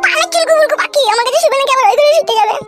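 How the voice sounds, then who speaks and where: A woman's voice: very high voice, rapid-fire, over music. A woman voicing a jealous, demanding young wife who whines and nags in a comic cartoon. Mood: pouting, pleading.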